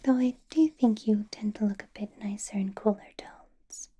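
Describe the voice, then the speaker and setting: A woman voicing a possessive, teasing female vampire boss in an ASMR roleplay, whispering softly in a playful, controlling way. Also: medium pitch, steady tone, moderate pace, husky, natural voice. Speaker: ASMR artist.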